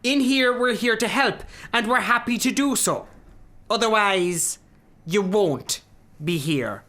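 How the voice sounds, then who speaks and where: A male Irish shop boss in a radio drama. He is patronising and overbearing, lecturing with swooping, lively intonation. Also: sits high, slow, heavily acted. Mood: patronising, stern. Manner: condescending, lecturing.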